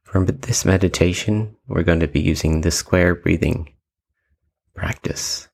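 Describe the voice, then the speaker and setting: Deep, slow, creaky-voiced male meditation guide. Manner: calm, measured instructions with long pauses.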